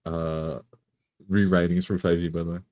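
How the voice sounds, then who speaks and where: Male tabletop player, fairly deep voice, relaxed-format casual stream conversation. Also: normal speed. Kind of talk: casual chat.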